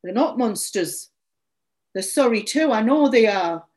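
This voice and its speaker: A woman's voice: on the high side, lively intonation, a little slow, a little mellow. A woman voicing a nervous, apologetic teenage boy in a story, meek and hesitant, with a stammer. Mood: earnest, defensive.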